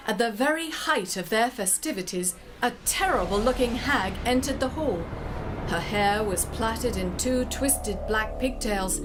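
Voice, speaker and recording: High-voiced woman storyteller. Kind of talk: dramatic scripted narration. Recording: music under the voice.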